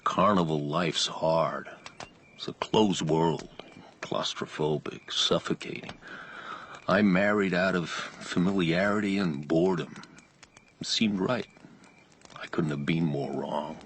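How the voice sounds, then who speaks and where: An actor playing a haunted, world-weary carnival man in a radio drama, telling his story in terse, brooding, hushed phrases. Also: deep voice, slow, a little hiss or hum, full character voice. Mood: bleak, weary.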